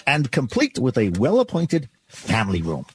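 An actor playing a helpful, scheming department store employee in an old-time radio drama, with folksy, persuasive patter. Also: male voice, medium pitch, wildly swooping pitch, normal speed, breathy edge, full character voice.

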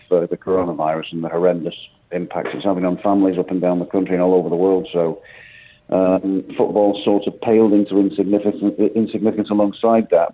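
Phone guest: low-voiced man, halting speech full of restarts, tinny line. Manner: halting, many restarts and fillers. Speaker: football manager guest. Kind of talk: phone interview.

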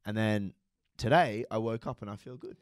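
A young man, co-hosting a podcast and telling crude, animated stories full of swearing. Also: on the low side, moderate pace, no echo, studio quality. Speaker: podcast co-host. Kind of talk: casual chat.

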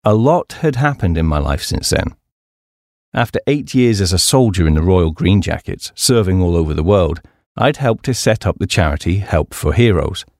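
Deep-voiced English man narrating expressively. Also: expressive, normal speed, a little mellow, no echo, studio quality. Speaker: audiobook narrator. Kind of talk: scripted audiobook narration of a humorous memoir.

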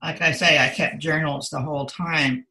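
A woman's voice: sits low, fairly even intonation, normal speed, bright, forceful tone, rough recording. An older woman giving reflective, earnest answers as a guest in a conversational interview, repeating words for emphasis.

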